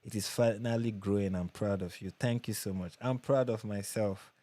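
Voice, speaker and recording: A man's voice: on the low side, fairly even intonation, moderate pace, mellow, dry recording. A young Nigerian man hosting a casual livestream, reading viewer comments and chatting with restarts and repeats. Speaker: livestream host.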